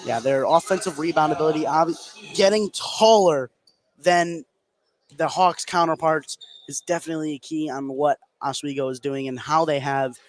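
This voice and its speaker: A man's voice: on the high side, moderate pace. A man doing live radio play-by-play of a basketball game, working as the main announcer. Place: indoor basketball gym. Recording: noisy gym ambience behind.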